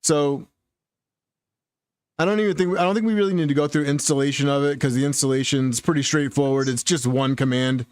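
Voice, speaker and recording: A man hosts a casual live chat about gear, his voice close and dry against the mic. He talks in a relaxed, thinking-aloud way, often backing up and restarting a sentence mid-thought. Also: medium pitch, brisk, clear, resonant tone, no room sound. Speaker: livestream host.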